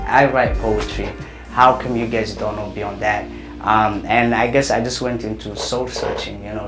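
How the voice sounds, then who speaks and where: A young man, talking hesitantly with many fillers in an interview. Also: on the low side. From Somalia. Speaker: interviewee, writer and actor. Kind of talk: casual interview. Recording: roomy with loud music behind.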